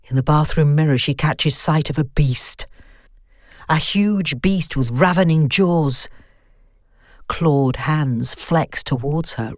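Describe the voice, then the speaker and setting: Woman narrator, low pitch, measured, suspenseful horror storytelling, dry close sound. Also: no echo.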